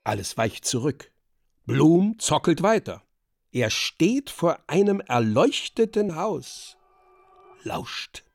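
A male narrator of a radio drama with a theatrical, scripted delivery. He speaks slowly but with lively swings of pitch, over some music. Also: creaky, dry recording, clean studio sound. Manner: deliberate, theatrical.

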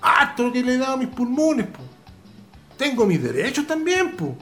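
A man's voice: very high-pitched, sing-song, moderate pace, a bit bright, faint music behind, full character voice. An actor playing an affectionate, stubborn old farmhand father with a rural Chilean accent and colloquial country speech, in a radio drama. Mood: proud, insistent. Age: older.

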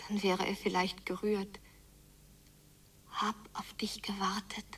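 A woman playing a yearning, stubborn young girl in a radio drama, speaking breathily in an intense, halting, hushed way. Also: medium pitch, level tone, husky, recorded from a distance, full character voice. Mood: yearning, impatient. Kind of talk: radio drama inner monologue, often whispered.

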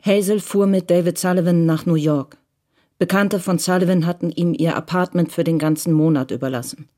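A woman narrating a radio drama in a measured, even way. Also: lowish voice. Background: music.

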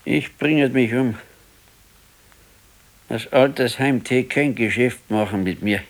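A gloomy old husband in a naturalistic radio drama. He speaks in a medium-pitched voice with a Bavarian accent and broods and complains in plain, colloquial words, fearful and worn by age. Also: poor audio. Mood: bitter, despairing. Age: 60s. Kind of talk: naturalistic radio play dialogue.

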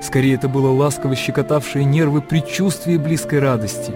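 Мужчина читает литературное повествование от автора в радиоспектакле. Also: male voice, mid-range voice, steady tone, fairly quick, over music. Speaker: narrator. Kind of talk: scripted literary narration in radio drama.

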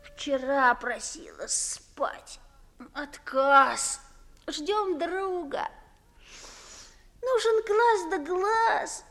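An actress playing a pert, quick-witted young maidservant in a 1950s Russian verse radio comedy, with theatrical, exclamatory delivery and muttered asides. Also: female voice, very high voice, fairly bright tone, exaggerated voice. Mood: drowsy.

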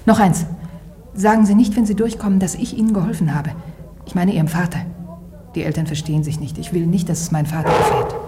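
An actress plays a young woman from a tavern in a radio drama. Her voice sits a little low. She speaks curtly and urgently, practical and unflustered, like someone in charge. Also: fairly even intonation, fast talker, background noise, lightly acted. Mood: secretive, practical. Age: young adult. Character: brisk, cool-headed young innkeeper's daughter helping a fugitive. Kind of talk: German radio drama acting.

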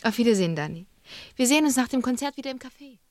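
A woman's voice: on the high side, expressive, rapid-fire, darkish tone, no room sound, natural voice. An actress playing a candid, courteous woman musician in a radio crime drama, speaking politely and openly. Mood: candid, earnest.

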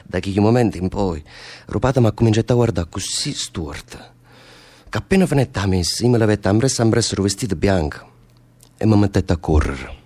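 Impassioned Neapolitan prisoner, restless and lovesick. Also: male voice, fairly low pitch, animated, fairly quick, warm tone. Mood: amused reminiscing. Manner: rambling, impassioned storytelling. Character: lovesick, restless male prisoner, volatile storyteller. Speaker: stage actor. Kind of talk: radio theatre dialogue in dialect.

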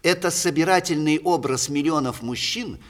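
An actor playing a witty older playwright in a 1960s Soviet radio play, courtly and ironic, with theatrical delivery and a husky voice. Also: male voice, fairly high pitch, normal speed, lightly acted. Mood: ironic, mannered.

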